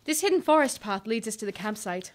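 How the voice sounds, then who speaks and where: A gentle, independent woodland elf woman played by an actress in a fantasy audio drama. Her voice is fairly high, and she speaks in a polite, measured manner. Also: sing-song.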